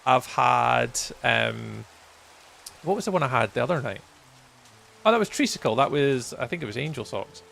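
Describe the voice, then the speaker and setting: Gravelly-voiced Scottish man chatting. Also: mid-range voice, very animated, moderate pace, fairly bright tone. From Scotland. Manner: rambling and good-humoured, with frequent ums and chuckles. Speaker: gaming livestreamer. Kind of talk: casual chat with live viewers while gaming.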